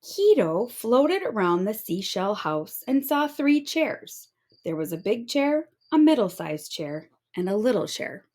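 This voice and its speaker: Slow, animated woman storyteller. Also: mid-range voice. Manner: expressive storytelling. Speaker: storybook reader. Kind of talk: children's read-aloud narration.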